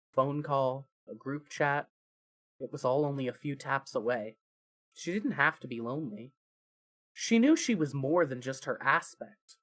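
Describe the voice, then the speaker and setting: Mid-pitched storyteller reading fan fiction dramatically. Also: a bit bright. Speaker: narrator. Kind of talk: scripted fan fiction narration.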